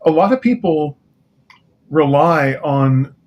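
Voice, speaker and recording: A man's voice: a little high, lively intonation, slow pace, darkish tone. A man chatting casually as a guest writer and producer, talkative and given to explaining things. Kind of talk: casual chat.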